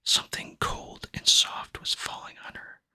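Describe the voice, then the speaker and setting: Male ASMR reader for children's fantasy: fully whispered, bright airy hiss, gentle unhurried phrasing, close mic. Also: dry recording. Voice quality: breathy unvoiced whisper. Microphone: close microphone.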